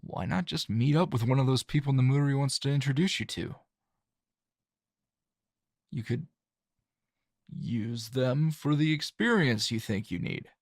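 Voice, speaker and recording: A man acts out a calm, teasing male hero in an intimate ASMR roleplay. His medium-pitched voice moves slowly and haltingly, with dry, understated wit. The sound is close and free of room echo. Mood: reassuring. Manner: low-key, halting, conversational with sighs and soft chuckles.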